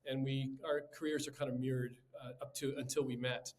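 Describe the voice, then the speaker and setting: A man's voice: medium pitch, level tone, brisk. A man as a podcast guest, describing his career in a casual interview in a dry way. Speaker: podcast guest, creative executive.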